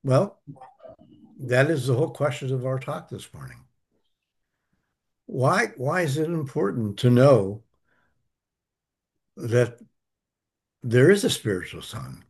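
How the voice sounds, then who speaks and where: A man's voice: mid-range voice, big pitch swings, slow pace, pauses often, husky. A man teaching a spiritual study group, earnest and emphatic, repeating key phrases as he answers questions.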